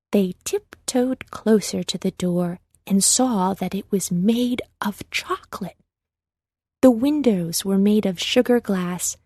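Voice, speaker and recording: Female narrator for kids' read-along story: measured, slow delivery, mellow tone. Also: medium pitch, breathy edge, no echo, studio quality. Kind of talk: scripted children's storybook narration.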